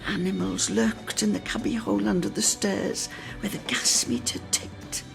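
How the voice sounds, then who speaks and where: Expressive woman reading a story, swooping pitch. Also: moderate pace, creaky, faint music behind. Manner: expressive, theatrical, storytelling delivery. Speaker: dramatic reader. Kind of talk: dramatic literary reading for radio.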